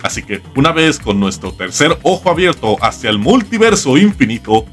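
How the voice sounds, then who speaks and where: A man's voice: medium pitch, big pitch swings, normal speed, a bit bright. A man hosting a scripted comedic video essay with wry, theatrical emphasis. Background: background music.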